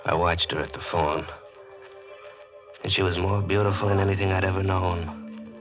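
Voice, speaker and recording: A man's voice: deep voice, fairly even intonation, normal speed, lo-fi sound, over music, close to an everyday voice. An American actor playing a haunted, possessive, guilty man, melodramatic and clipped, in a 1940s radio drama. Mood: haunted narration. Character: haunted, possessive, guilty man telling his own downfall. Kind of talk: 1940s radio acting, first-person narration.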